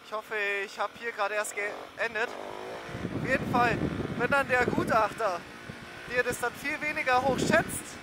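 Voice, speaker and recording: A man's voice: very high voice, measured pace. A young man, chatting casually and slangily in a rambling way while riding a motorbike outdoors. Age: young adult. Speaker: motorcycle vlogger. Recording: riding noise, wind and engine. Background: motorcycle engine and road noise.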